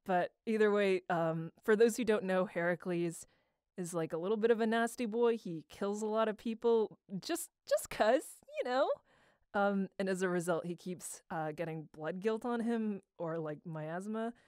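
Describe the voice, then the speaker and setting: A woman's voice: medium pitch, moderate pace, clean tone, dry recording, clean studio sound. A young woman telling stories in a casual, slangy, irreverent way, rambling as she goes. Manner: slangy, irreverent, animated retelling with acted quotes. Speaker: amateur voice actor answering a question.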